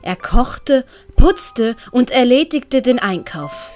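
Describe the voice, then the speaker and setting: A woman with a Swiss German accent narrating a storybook in a gentle, measured way. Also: fairly high pitch, expressive, soft background music. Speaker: narrator and author.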